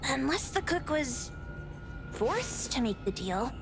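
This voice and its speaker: A woman's voice: sits high, sing-song, slow, music in the background, exaggerated voice. A voice actress playing a feisty, hot-headed young girl in a video game, brash, blunt and exclamatory. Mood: skeptical. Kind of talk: video game character acting.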